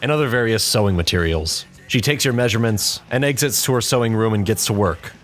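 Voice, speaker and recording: A man's voice: fairly low pitch, animated, fast talker, a bit bright. A man reading scripted story narration as the narrator of an audio tale. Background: background music.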